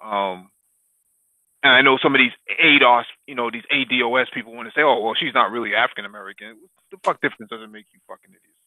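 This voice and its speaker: A man's voice: mid-range voice, fast, no echo. A man co-hosting a casual political talk, rambling through many fillers and breaking into crude jokes and swearing. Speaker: podcast co-host. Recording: dry tinny phone-line sound.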